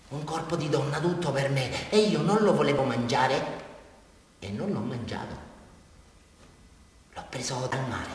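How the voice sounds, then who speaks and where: An actor playing a deranged, naive murderer with a southern Italian accent, rambling and repeating himself childishly in a theatrical radio drama confession. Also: male voice, medium pitch, lightly acted. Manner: rambling, repetitive, childlike, addressing his listener. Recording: very echoey hall, far from mic.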